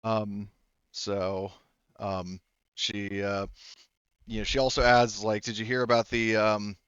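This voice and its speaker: A man improvising narration as a tabletop game master, rambling and haltingly correcting himself as he goes. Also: on the low side, flat delivery, fairly bright tone, smooth, no echo, muffled recording. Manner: rambling, halting, self-correcting, full of "you know".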